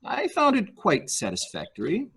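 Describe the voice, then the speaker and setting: A man's voice: high voice, wildly swooping pitch, moderate pace, vocal fry, a bit bright, slightly rough, heavily acted. A man playing a deferential male nurse-attendant in a murder mystery reading, speaking formally, hesitantly and obsequiously. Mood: deferential, careful. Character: deferential male nurse-attendant to a rich invalid, primly servile.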